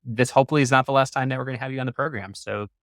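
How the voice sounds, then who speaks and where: A man's voice: medium pitch, steady tone, racing, a bit bright, no room sound, clean studio sound. A man hosting an interview in an upbeat, effusive and encouraging conversational way. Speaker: podcast host.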